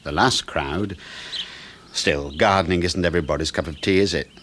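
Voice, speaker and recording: A British actor playing a friendly, chatty suburban neighbour in a 1970s radio play, polite and slightly hesitant. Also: male voice, sits low, normal speed, a bit bright, a little hiss or hum. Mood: chatty, keen.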